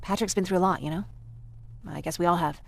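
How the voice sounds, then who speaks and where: A woman playing a weary, grieving young survivor in a video game, hesitant and soft-hearted. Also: lowish voice, steady tone, fast, creaky. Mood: grateful, weary.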